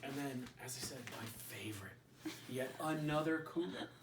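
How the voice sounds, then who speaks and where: A man chats his way through a casual gear review from home, rambling and cracking jokes as he thinks aloud. His voice sits at an ordinary middle pitch. It sounds a little distant and roomy, with a faint hiss behind it. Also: moderate pace. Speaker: channel host. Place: indoors at home.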